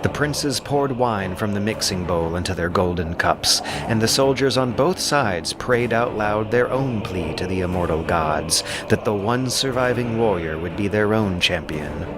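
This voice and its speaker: A man narrating an epic audiobook in a dramatic, scripted style with theatrical, deliberate emphasis. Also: lowish voice, expressive, noisy background. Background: ambient sound effects.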